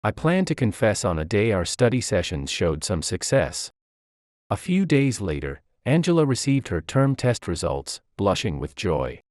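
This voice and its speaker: A man's voice: fairly low pitch, sing-song, moderate pace, a little mellow, no echo, clean studio sound. A man reading a scripted dramatic story as its narrator.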